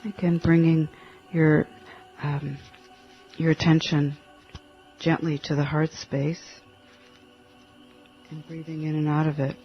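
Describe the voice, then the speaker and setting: A man leading a guided meditation in a gentle, halting manner, leaving long pauses between short phrases. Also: on the high side, flat delivery. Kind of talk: guided meditation, spoken instructions. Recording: dull lo-fi audio with faint music.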